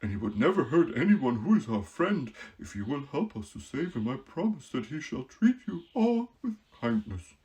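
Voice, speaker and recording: A woman's voice: a bass voice, sing-song, normal speed, few pauses, warm tone, rough recording. A woman reading a storybook aloud, voicing an eager, friendly scarecrow stuffed with straw.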